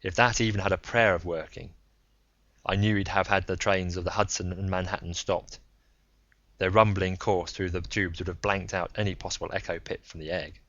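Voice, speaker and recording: A man's voice: sits low, fairly even intonation, moderate pace, a bit bright, dry recording, dull audio. A man reading a first-person story aloud as scripted audiobook narration. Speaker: audiobook reader.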